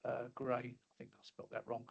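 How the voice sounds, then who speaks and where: Halting middle-aged man presenting a webinar. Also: medium pitch, little pitch movement, vocal fry. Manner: halting, many fillers and restarts. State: losing his voice, clearing throat. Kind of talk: casual presentation with slides. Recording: slightly dull lo-fi audio.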